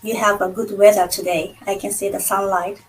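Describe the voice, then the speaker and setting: Polite, slow-speaking woman host. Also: mid-range voice, little pitch movement, faint music behind, poor audio. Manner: slow, careful, polite questioning. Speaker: interview host. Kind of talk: casual interview chat.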